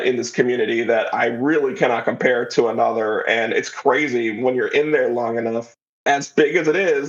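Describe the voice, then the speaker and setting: A man's voice: mid-range voice, highs cut off. A man giving a casual, thoughtful, rambling answer as an interview guest. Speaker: interview guest, audio drama writer and creator.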